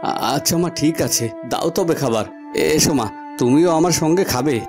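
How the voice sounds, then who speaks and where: Guy playing poor village son in a cartoon, slightly high voice, grumbly but earnest, music under it. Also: moderate pace, close to an everyday voice. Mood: dutiful, resigned. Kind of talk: cartoon voice acting. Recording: music behind the voice.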